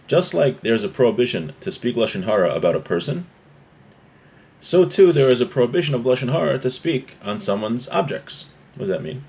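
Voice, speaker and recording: A man's voice: mid-range voice, very animated, fairly quick, darkish tone. Man teaching an informal religious class. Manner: explanatory, often checking with "right?". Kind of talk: informal religious class, reading Hebrew text and explaining it.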